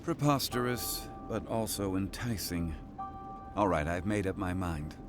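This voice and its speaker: An actor playing an erudite, haughty and curious fantasy-game scholar, with pompous, formal, archaic wording. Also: male voice, low voice, unhurried, over music. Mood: intrigued. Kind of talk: video game character acting.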